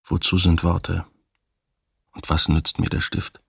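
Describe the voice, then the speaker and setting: Deep gravelly sorrowful poet. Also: male voice, level tone, no room sound, clean studio sound, heavily acted. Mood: sorrowful, weary. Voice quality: gravelly, husky. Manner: heavy, deliberate. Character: grief-burdened male poet looking back on mass graves. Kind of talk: slow solemn poetry recitation in radio drama.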